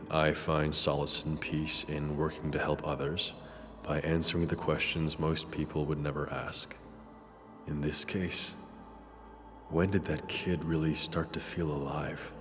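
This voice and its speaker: An actor playing a brooding, philosophical detective in a scripted future noir drama, speaking in measured, formal phrases. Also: male voice, a bass voice. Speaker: detective character and narrator. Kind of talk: scripted noir drama dialogue and reflective monologue. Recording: long reverb, music behind.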